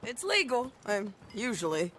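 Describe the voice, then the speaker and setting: A woman's voice: fairly high pitch, big pitch swings, unhurried, a bit bright, slight background noise. A woman playing a tough, easygoing female bounty hunter of the Old West in a video game, with a folksy American Western drawl. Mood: casual, wry. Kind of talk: naturalistic video game acting. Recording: music under the voice.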